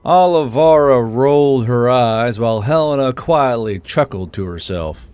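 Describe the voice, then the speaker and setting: A man's voice: medium pitch, animated, unhurried, darkish tone, clear, resonant tone. A man reading scripted fantasy fiction as the narrator of an audiobook. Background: music.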